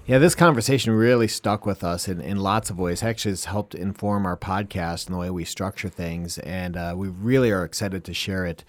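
A man co-hosts a podcast with a low voice, speaking relaxed and agreeable. The sound is dry and close. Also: brisk, hardly any pauses, no room sound. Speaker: podcast co-host. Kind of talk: podcast intro.

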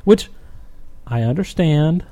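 A man's voice: a little high, expressive, takes it slowly, warm tone. A man, co-hosting a casual podcast, blunt and given to crude jokes. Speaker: podcast co-host.

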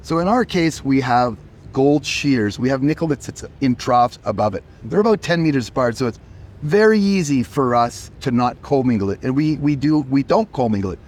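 A man's voice: medium pitch, animated, moderate pace, darkish tone. A company chief executive, a man who is losing his voice, answering interview questions emphatically and often repeating words as he starts.

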